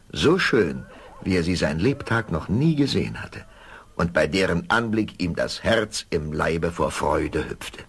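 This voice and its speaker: A man's voice: deep voice, slightly rough, heavily acted. A man narrating a fairy tale as the storyteller of a scripted radio play.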